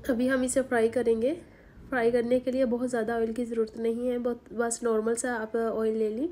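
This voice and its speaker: Hoarse woman narrating a home recipe. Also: fairly high pitch, flat delivery, fairly quick, dark tone, a little hiss or hum. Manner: homely, chatty instruction with many fillers. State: hoarse from a sore throat. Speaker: cooking channel host. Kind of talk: recipe voice-over. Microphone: voice-over recorded separately.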